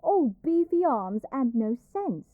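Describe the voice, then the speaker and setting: A woman's voice: sits high, very animated, slow, no echo, phone-quality sound, exaggerated voice. A woman playing an arrogant young princess in a dramatic audio roleplay, haughty, petulant, theatrical and given to complaint. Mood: indignant, haughty.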